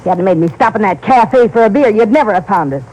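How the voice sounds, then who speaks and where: A woman's voice: medium pitch, lively intonation, fast, dark tone, close to an everyday voice, rough recording. Woman playing a brassy, sullen female crook. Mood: bitter, resentful. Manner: tough, slangy, sullen. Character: brassy, resentful female crook, small-time knockout-drops accomplice. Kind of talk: 1950s radio drama acting. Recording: vintage radio broadcast, faint noise.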